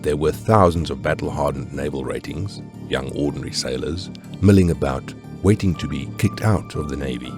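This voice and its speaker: A man with an extremely deep voice narrates a history documentary in measured, grave tones, with music clearly playing under him. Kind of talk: scripted narration.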